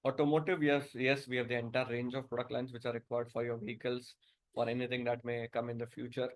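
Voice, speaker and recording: Indian-accented guy doing an investor presentation, pretty flat intonation, kinda halting, repeats words. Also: medium pitch. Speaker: company director.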